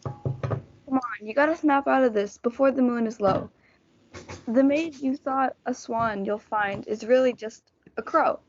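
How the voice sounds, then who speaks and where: A woman's voice: fairly high pitch, measured pace, slight background noise. A female student performer playing a friendly, practical young man who keeps the peace in a reader's theatre play. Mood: coaxing. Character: young male Montague, friendly peacemaking cousin, coaxing and practical. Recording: dull, muffled lo-fi audio.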